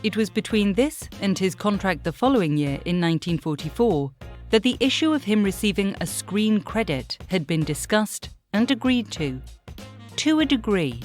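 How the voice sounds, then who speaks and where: A woman reading scripted documentary narration in a measured, formal, explanatory way. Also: medium pitch. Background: faint music.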